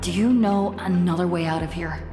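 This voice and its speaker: A woman's voice: fairly low pitch, normal speed, over music, natural voice. A woman voicing a proud, defiant, coolly resolute character in a dramatic game scene. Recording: echoey hall with long reverb.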